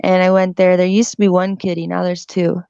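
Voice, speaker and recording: Gravelly-voiced woman in her thirties. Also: lowish voice, little pitch movement, a little fast, dark tone, no echo, muffled recording. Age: 30s. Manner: chatty, laughs often, easygoing. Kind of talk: casual group video chat.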